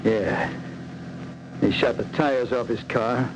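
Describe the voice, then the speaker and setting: Noisy old radio crime drama: bitter gangster cop killer, tough slangy talk. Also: male voice, mid-range voice, takes it slowly. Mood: hateful. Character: bitter, vengeful male outlaw and cop killer. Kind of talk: 1940s radio acting.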